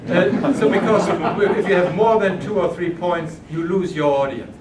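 A man's voice: high voice, moderate pace. An older man from Germany giving a keynote lecture to a conference audience, speaking hesitantly with many restarts and fillers. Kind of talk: conference lecture answering questions. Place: large carpeted conference room. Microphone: far room camera. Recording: echoey hall from far off, noisy.

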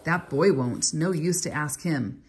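A woman's voice: low-pitched, animated, normal speed, gravelly, a little hiss or hum. A woman voicing a whining, obsequious older male Babylonian servant in a storybook reading. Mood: spiteful. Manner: wheedling, obsequious.

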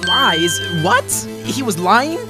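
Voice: medium pitch, huge pitch swings, slow pace, over loud music. An actor playing a young, unsure rookie defense lawyer in an anime-style game fan dub. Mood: nervous, flustered.

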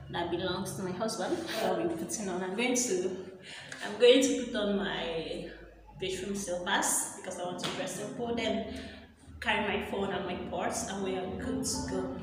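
A woman's voice: mid-range voice, unhurried, noisy background. A young Nigerian woman vlogging casually to her viewers in a small room indoors, chatty and direct. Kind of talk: casual vlog talk to camera. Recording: very echoey bare room.

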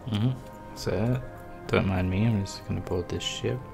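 A man's voice: low-pitched, unhurried, creaky, music in the background. A man casually commenting while playing a game, joking and muttering reactions to the screen. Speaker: gameplay commentator. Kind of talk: casual let's-play commentary.

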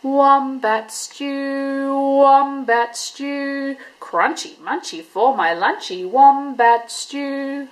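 Lady putting on a sneaky hungry dingo, singsongy and gleeful, slow drawn-out chant. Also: big pitch swings, fairly bright tone, exaggerated voice. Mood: sing-song. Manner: sing-song, sudden high squeals. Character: sly hungry male dingo, cheerful schemer.

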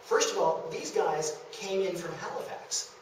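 Echoey, higher-pitched young man lecturing. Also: lively intonation, unhurried. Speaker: presenter of a local history talk. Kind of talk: prepared public lecture. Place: indoor meeting room in a historic house. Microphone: distant camera microphone. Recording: echoey room from far off, faint noise.

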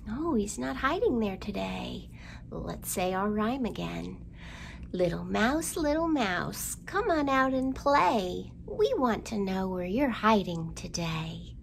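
A middle-aged woman hosting storytime for young children, with an expressive, sing-song delivery, recorded indoors a short way from the microphone. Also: mid-range voice, slow pace, vocal fry, slight background noise. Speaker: children's library storytime host. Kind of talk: storytime rhymes and picture book reading for young children. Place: indoors in a library room.

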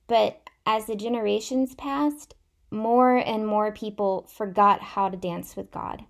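A woman in her thirties telling a Bible story in simple, measured phrases, recorded in a room at home. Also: mid-range voice. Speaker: church storyteller. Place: small indoor room at home. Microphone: laptop or webcam at arm's length.

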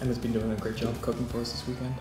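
A man's voice: medium pitch, little pitch movement, rapid-fire, darkish tone. A man at a cabin meal giving playful, mock-formal praise of the cooking. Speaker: guest at the meal. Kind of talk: casual chat at a meal. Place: cabin dining room, indoors. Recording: roomy with music behind.

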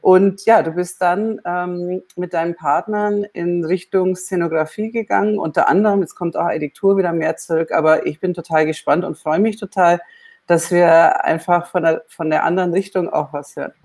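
A woman's voice: on the low side, fairly even intonation, moderate pace, darkish tone. A woman in her forties moderating an online panel from a room at home, talking chattily with many fillers into earphones. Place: home room, video call. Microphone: earphone headset on video call.

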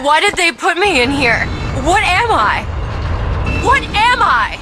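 A woman's voice: extremely high pitch, very animated, takes it slowly, background noise, exaggerated voice. An actress voicing a frightened, curious young woman held captive in a tower, speaking urgently in a video game cutscene. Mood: anguished. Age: young adult. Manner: urgent, questioning, startled. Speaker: game character. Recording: game audio under loud music.

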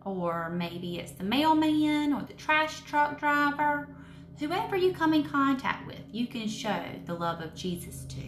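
A woman in her thirties hosting a children's devotional story time, speaking in simple phrases with questions and pauses for young listeners. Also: medium pitch, a bit bright. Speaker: children's story time host, Sunday school teacher. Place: indoors in a home room with brick wall and wood cabinets. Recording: roomy echo, faint background noise.

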